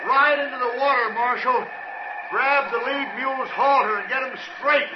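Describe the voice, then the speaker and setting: An actor playing a harried, stubborn, plain-spoken frontier homesteader with a rural western American accent. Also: male voice, very high voice, moderate pace. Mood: straining, shouting. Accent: rural American West. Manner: folksy, defensive. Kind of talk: 1960s radio drama acting. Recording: noisy, muffled vintage radio recording.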